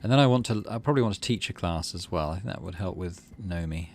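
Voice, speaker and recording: A man's voice: low voice, expressive, quick pace, creaky, a little mellow, a little hiss or hum. A man giving casual let's play commentary, thinking aloud through his choices and reading out game text. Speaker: gaming video host.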